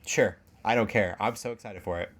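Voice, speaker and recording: A man's voice: fairly low pitch, a little fast. A man co-hosting a podcast, chatting casually about video games in a playful, sarcastic way. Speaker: podcast co-host.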